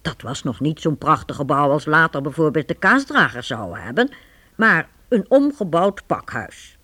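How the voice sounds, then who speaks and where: Animated, husky woman narrator. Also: deep voice, normal speed. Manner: animated, storybook delivery. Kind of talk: scripted storytelling narration.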